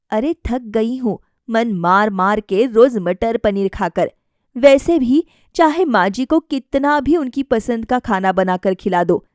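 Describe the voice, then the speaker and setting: A woman's voice: a little high, darkish tone, dry recording, clean studio sound. A woman voicing a self-assured young wife, a politely defiant daughter-in-law who explains herself firmly in a family story. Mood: quietly fed up. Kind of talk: acted dialogue in a family story.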